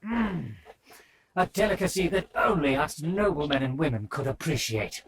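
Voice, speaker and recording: Pompous high-pitched nobleman. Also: animated, moderate pace, crowd noise. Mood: delighted, dignified. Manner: pompous, formal, archaic wording. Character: pompous, haughty aristocratic nobleman, easily flattered. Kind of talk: scripted video game character acting.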